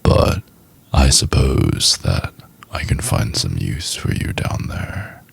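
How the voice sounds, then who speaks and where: A man playing a cold, domineering, contemptuous chief executive in an ASMR roleplay, speaking in a husky, condescending, deliberate half-whisper. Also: rumbling low, animated, no echo, full character voice. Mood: commanding, demeaning. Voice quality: husky, gravelly. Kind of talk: intimate ASMR roleplay, acted monologue.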